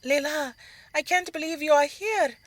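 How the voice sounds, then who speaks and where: A woman's voice: high voice, normal speed, no room sound, pitched above the performer's own voice, lightly acted. A woman voicing an eager, curious young girl, a younger sister, in an audio drama story. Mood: excited.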